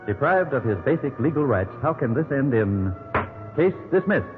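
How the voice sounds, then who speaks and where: A man's voice: medium pitch, very animated, normal speed, phone-quality sound. An American man announcing a 1950s radio drama with solemn, portentous narration. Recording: band-limited 1950s radio recording. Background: loud dramatic music.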